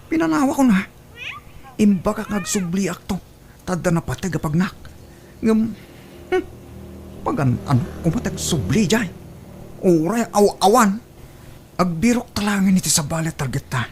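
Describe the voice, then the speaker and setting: A woman's voice: mid-range voice, lively intonation, normal speed, long pauses, a little hiss or hum, close to an everyday voice. A woman acting an evasive, jealous lover in an audio drama, with a Filipino accent. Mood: frustrated. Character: Boni, evasive, jealous lover short of money. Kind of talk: Ilocano radio drama acting.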